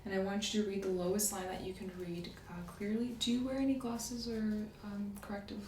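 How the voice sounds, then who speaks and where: A soft-spoken woman in an ASMR medical roleplay, gently explaining exam steps as the examiner. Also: mid-range voice, steady tone, a little fast. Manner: gentle, step-by-step clinical explaining. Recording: roomy echo, distant mic, faint noise.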